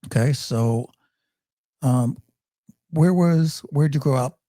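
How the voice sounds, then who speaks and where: A man's voice: on the high side, takes it slowly, warm tone, dry recording. A man hosting a podcast, chatting in a friendly way and asking his guest about her life. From Michigan. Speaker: podcast host. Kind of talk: casual interview.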